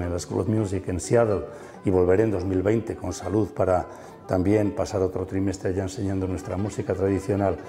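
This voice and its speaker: A man's voice: deep voice, dark tone, in a large hall. A middle-aged man guiding an interview through a museum, enthusiastic and full of fillers and tag questions. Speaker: musician and museum guide. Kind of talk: explanatory interview chat. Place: museum room.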